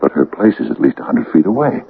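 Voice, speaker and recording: An actor in an old-time radio drama playing an uneasy, reluctant small-town conspirator with a gravelly voice and a halting, doubtful manner. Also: male voice, extremely low pitch, big pitch swings, heavily acted. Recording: tinny, noisy 1980 radio broadcast recording.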